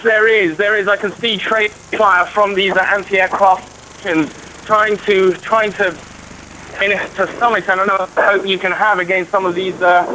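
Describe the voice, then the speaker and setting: A man with a high voice reports live from a war. He speaks haltingly, groping for the next word, and there is clear noise behind him. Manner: halting, searching for words. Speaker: war correspondent. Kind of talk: live eyewitness news report.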